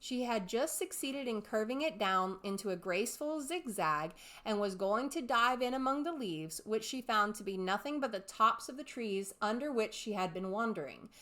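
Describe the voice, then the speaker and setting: A woman's voice: on the high side, expressive, normal speed, few pauses, a bit bright. A woman reading a classic story aloud as scripted narration. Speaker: audiobook reader.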